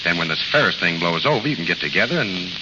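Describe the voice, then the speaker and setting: Shrewd American gang leader, radio play; lively intonation, gangster slang, reverberant old recording. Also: male voice, low-pitched, fast talker. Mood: firm, evasive. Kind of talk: 1930s-40s radio drama acting. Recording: dull, noisy vintage radio recording, long reverb.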